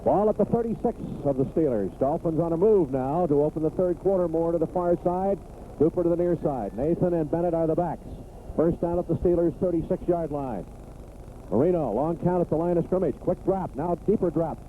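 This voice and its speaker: A high-voiced American man delivers live football play-by-play in short, clipped phrases that build into excited calls. The sound is a tinny 1980s radio broadcast with clear noise behind him. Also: a little fast.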